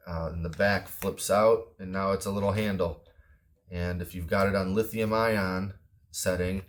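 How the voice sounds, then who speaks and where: A man with a low-pitched voice talks through a product review casually. His delivery is offhand and plain-spoken, with some hesitation as he goes. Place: indoors at a wooden table.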